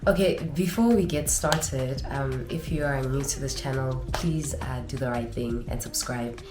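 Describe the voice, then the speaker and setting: Vlogger, woman, young adult, low voice, nasal and stuffed up, echoey room with music underneath. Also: a little slow. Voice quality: nasal from congestion. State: congested. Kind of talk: casual advice vlog.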